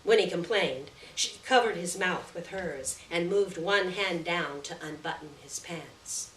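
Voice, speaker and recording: A woman with a fairly low voice reads literary fiction aloud with deliberate, measured phrasing. She is heard at some distance from the microphone in an echoey room. There is faint noise behind her. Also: a bit bright. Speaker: reader of a short story. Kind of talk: literary story reading, scripted narration. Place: roomy venue at a live reading.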